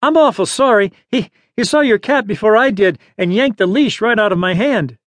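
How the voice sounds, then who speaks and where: Man doing a flustered boy, pitched up. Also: expressive, normal speed, a bit bright, dry recording. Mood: panting, apologetic. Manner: stammering, apologetic, earnest. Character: earnest, easily flustered young boy with a dog. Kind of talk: storybook character voice.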